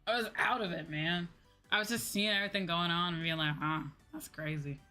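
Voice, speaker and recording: A woman's voice: fairly low pitch, a little fast, pushed and bright, faint music behind. A woman livestreamer chatting casually, in an informal, slangy, ranting way that acts out her own reactions. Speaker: VTuber livestream host.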